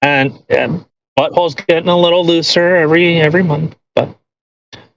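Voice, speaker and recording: A man with a voice a little higher than average talks casually as a podcast co-host. He is sarcastic and argumentative, picking things apart in a mocking way. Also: steady tone, normal speed, background noise. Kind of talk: casual chat, mocking commentary on a post read aloud.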